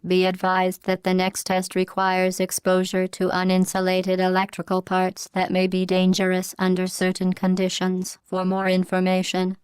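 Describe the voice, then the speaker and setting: A woman playing a coldly polite, menacing artificial intelligence in a video game, deadpan and formal, with a synthetic voice flattened to a monotone. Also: clear, resonant tone, no room sound. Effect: synthetic robot voice, pitch flattened to monotone. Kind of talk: scripted video game voice lines.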